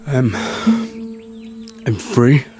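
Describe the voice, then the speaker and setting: A man's voice: medium pitch, extremely slow, over music. A man acting a subdued, condemned young man in a radio drama. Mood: dazed. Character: condemned young man, resigned, then dazed.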